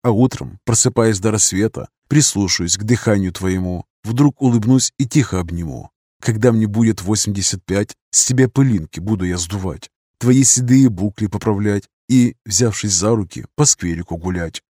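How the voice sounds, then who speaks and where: A man playing a weathered, stern but loving husband in an audiobook, speaking in a measured, slow way. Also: lowish voice, mellow, dry recording, clean studio sound. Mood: wistful. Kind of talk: audiobook character reading.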